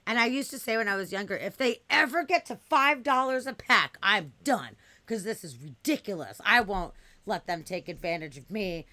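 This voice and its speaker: Raspy, bright-voiced woman swearing freely. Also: mid-range voice, moderate pace. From US West Coast. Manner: blunt, swears freely, animated storytelling. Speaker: podcast guest. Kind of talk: casual chat.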